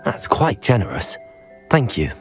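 Polite teenage wizard, lively intonation. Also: male voice, mid-range voice, a little slow. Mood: polite, grateful. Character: polite, brave teenage schoolboy wizard hero. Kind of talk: video game cutscene acting. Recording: music behind the voice.

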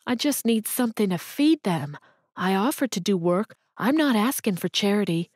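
A woman's voice: mid-range voice, expressive, moderate pace, darkish tone, husky, dry recording, studio quality, pitched above the performer's own voice. A woman voicing a desperate jobless mother who pleads through tears, her voice breaking. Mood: pleading. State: crying.

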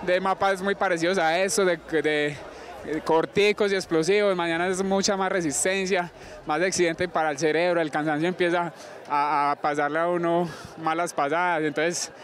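A young man, giving an energetic post-race interview outdoors on a handheld mic. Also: a little high, normal speed. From Colombia. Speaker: orienteering athlete. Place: outdoor sports field in a town.

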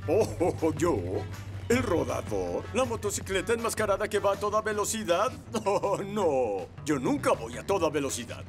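An actor voicing an earnest, fussy motorcycle police officer in an animated children's dub, with emphatic delivery. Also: mid-range voice, moderate pace. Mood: amused, admonishing. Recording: loud cartoon music behind.

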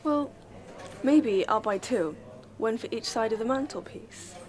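A woman's voice: a little high, lively intonation, measured pace. A young woman playing a clingy, lonely mother, stubborn and needy, in radio-play drama. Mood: indecisive. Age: young adult. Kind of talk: low-key dramatic radio-play dialogue.

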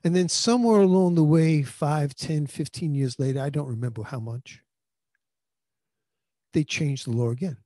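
New guy in his 50s giving a sermon, warm relaxed tone, handheld mic, talks like a conversation and restarts a lot. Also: fairly high pitch, moderate pace, no echo, studio quality. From New York. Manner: earnest conversational preaching with frequent restarts. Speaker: pastor. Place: small indoor room. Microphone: handheld microphone.

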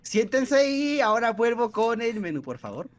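A man's voice: extremely high pitch, big pitch swings, moderate pace. A man roleplaying a polite, mock-formal restaurant waiter in a game. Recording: faint game music behind.

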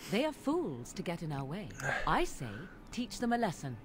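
An actress playing a haughty, ruthless adventurer in a fantasy game. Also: female voice, lowish voice, sing-song, normal speed, over music. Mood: scornful.

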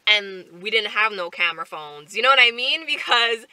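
A woman in her twenties chatting casually to camera from a parked car, animated and full of fillers and asides. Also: mid-range voice, moderate pace, dry recording, rough recording. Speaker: vlogger. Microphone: camera on the dashboard.

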